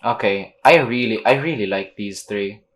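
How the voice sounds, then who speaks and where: A woman's voice: very deep voice, normal speed. A woman streaming a game with casual, slangy, teasing commentary, reading dialogue aloud. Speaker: gameplay streamer. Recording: game music behind the voice.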